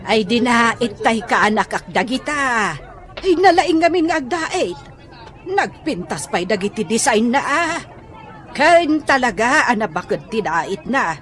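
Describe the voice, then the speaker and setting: A woman's voice: fairly high pitch, very animated, a little slow, fairly bright tone, some chatter behind. A woman acting a chatty, bluntly frank auntie who sells goods, in an Ilocano radio comedy drama with an Ilocano accent. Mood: chatty, coaxing.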